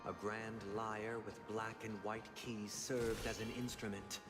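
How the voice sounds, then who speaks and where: An actor playing a solemn, theatrical male villain who delivers grand, formal monologues in a measured way. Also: lowish voice, flat delivery, close to an everyday voice. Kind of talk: dramatic scripted monologue. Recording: long hall reverb under loud music.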